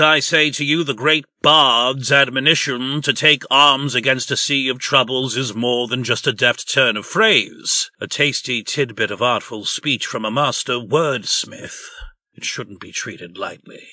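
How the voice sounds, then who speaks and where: A man's voice: medium pitch, sharp tone, dry recording. A man voicing a pompous, scheming pig who speaks like a grand orator. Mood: orating.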